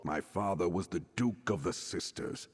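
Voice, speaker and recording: An actor playing a proud Breton nobleman in a video game, speaking in a measured, formal, reflective way. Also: sits low, in a large hall, slight background noise, lightly acted.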